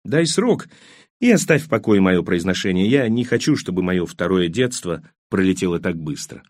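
A man narrator plays a reflective immigrant man in an audiobook novel, melancholy and dryly ironic. He talks in measured literary phrases, lowish in pitch but with a lively rise and fall. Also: no echo, studio quality. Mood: ironic, wistful.

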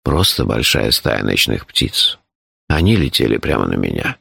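A man voicing an imperturbable, phlegmatic male companion in an audiobook, speaking in a calm, measured, deadpan and formal way. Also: rumbling low, gravelly, husky, dry recording, clean studio sound, voice pushed down, full character voice. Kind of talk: audiobook character voice.

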